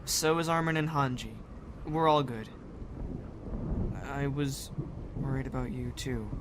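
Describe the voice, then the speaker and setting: A man's voice: medium pitch, fairly even intonation, measured pace, noisy background, lightly acted. A man playing an emotional, sincere young man in an audio drama, speaking earnestly. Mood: apologetic, relieved. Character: emotional, sincere young son, blunt when challenged.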